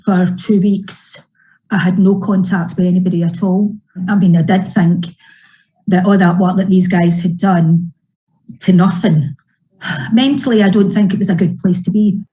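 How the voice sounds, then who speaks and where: A woman in her fifties speaking hesitantly and slowly in a news interview. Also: fairly low pitch, steady tone, dark tone, poor audio. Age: 50s. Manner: hesitant, slow with pauses. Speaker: patient.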